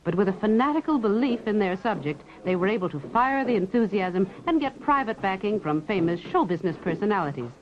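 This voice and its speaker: A woman reporter reads polished newsreel narration with a lively, animated lilt. Her voice sits in a middle register for a woman. The sound is muffled and dull, like a 1960s film soundtrack. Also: medium pitch, fairly quick, few pauses. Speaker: reporter and presenter.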